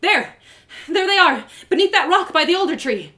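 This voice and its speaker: A woman voicing an excitable young fantasy heroine from a storybook, stammering and urgent as she pleads stubbornly. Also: extremely high pitch, normal speed, pitched above the performer's own voice, full character voice. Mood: frantic. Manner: stammering, urgent, repeats words. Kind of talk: storybook character voice in a live reading.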